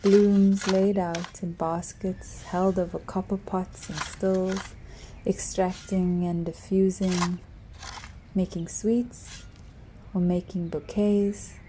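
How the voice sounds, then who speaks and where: A woman reads in a slow, hushed, poetic voice with a very dark, mellow tone. Her pitch sits fairly low and barely moves, and now and then she slips into a whisper. A faint hiss runs under the recording. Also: level tone, rough recording. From South Africa. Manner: soft, hushed, drifting into whispers. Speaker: narrator.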